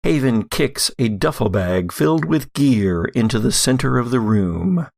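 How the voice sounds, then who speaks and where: A man's voice: mid-range voice, lively intonation, measured pace, creaky, darkish tone. A man narrating a scripted audio drama in a dramatic storytelling style. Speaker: narrator and game master.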